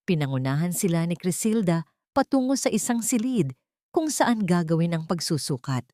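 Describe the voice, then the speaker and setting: A woman's voice: fairly low pitch, very animated, moderate pace, mellow, no room sound, clean studio sound. A woman narrating a scripted romance drama in a dramatic storytelling style.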